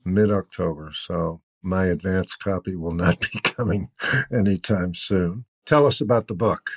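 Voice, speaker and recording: Husky, low-voiced man interviewing. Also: a little slow, no echo, clean studio sound. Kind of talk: casual interview conversation.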